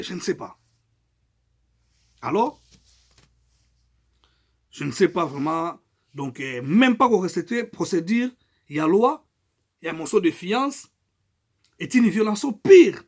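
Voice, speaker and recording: Husky, high-voiced Congolese man speaking out. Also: big pitch swings, measured pace, long pauses. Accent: Congolese French. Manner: emphatic, rising and falling loudness, mixes in Lingala phrases. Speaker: political activist. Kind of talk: impassioned political statement.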